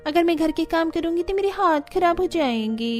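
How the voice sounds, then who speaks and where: A woman voicing a spoiled, snobbish modern young woman with an affected drawl in an animated family drama. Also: extremely high pitch, normal speed, faint music behind. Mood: simpering. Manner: affected, drawling, mixes in English phrases.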